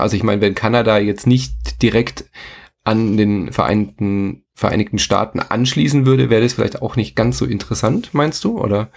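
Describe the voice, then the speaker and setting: A man's voice: on the low side, gravelly, darkish tone, husky. A man hosting a casual podcast, asking long, winding questions.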